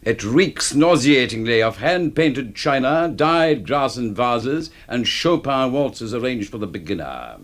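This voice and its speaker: An actor playing an arrogant older aesthete villain in a radio drama, pompous, theatrical and grandly scornful. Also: male voice, medium pitch, expressive, a little slow, few pauses, natural voice. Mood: sneering, amused. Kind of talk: suspense radio drama acting.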